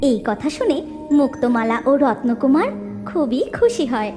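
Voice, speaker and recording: Female cartoon narrator, high and lively, sing-song. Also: normal speed. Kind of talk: storytelling narration. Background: background music.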